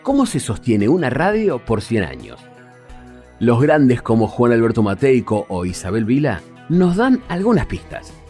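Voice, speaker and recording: Animated Argentine man narrating over music. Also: medium pitch, unhurried. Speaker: podcast host narrator. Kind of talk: scripted documentary narration. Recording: music bed behind voice. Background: background music.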